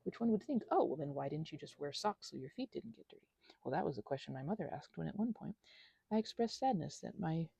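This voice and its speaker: A woman's voice: low voice, expressive, fast, creaky, dark tone, husky, dry recording. A woman giving a casual, rambling monologue, whimsical and self-deprecating as her thoughts wander. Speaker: audio creator rambling to listeners.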